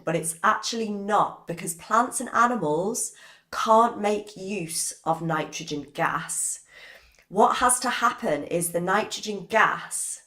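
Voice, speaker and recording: A woman, hoarse from a cold, giving a live-streamed biology revision lesson and chatting with viewers by name. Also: fairly low pitch, a bit bright. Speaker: biology teacher.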